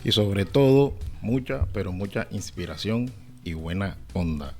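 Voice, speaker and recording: A man's voice: lowish voice, animated, measured pace, dark tone. A man with a Caribbean Colombian accent from Cartagena, co-hosting a conversational podcast. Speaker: podcast co-host. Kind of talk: casual podcast chat with scripted intro.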